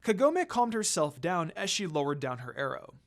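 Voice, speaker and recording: Someone narrating a fanfic, dramatic reading, super animated pitch. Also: moderate pace. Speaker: fan fiction reader.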